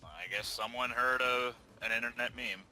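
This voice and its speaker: A man's voice: medium pitch, moderate pace, pushed and bright. A man joining a gaming stream, teasing the host and reading chat messages aloud. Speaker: co-player on a stream. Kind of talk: casual gaming stream banter.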